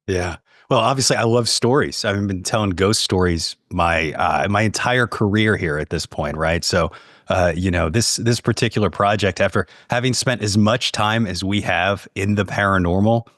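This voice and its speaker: A man's voice: low voice, moderate pace, fairly bright tone, no echo, studio quality. A man chatting casually as a podcast guest, with informal, loose phrasing. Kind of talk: casual interview chat.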